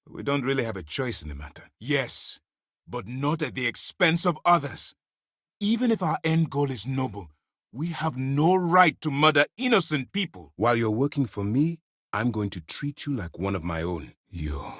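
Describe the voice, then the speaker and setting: An actor playing a stern, principled military space-fleet commander in a video game, speaking formally and with measured authority. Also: male voice, on the low side, big pitch swings, breathy edge, no room sound, clean studio sound. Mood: measured, resolute. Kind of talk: dramatic game character dialogue.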